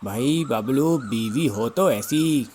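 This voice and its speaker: A man voicing chatty male bird townsfolk in a cartoon, expressive and colloquial. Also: medium pitch, slow pace, a little hiss or hum. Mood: apologetic.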